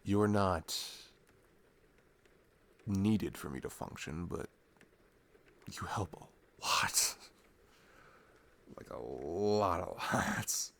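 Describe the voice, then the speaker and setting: A man's voice: deep voice, animated, extremely slow, vocal fry, pauses often, fairly bright tone, full character voice. A young man playing a sweet, playful boyfriend in an ASMR roleplay, chatting tenderly and casually in a rambling, teasing way. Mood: tender, sincere. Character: sweet, playful, affectionate boyfriend cuddling his partner. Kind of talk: ASMR boyfriend roleplay, improvised intimate chat.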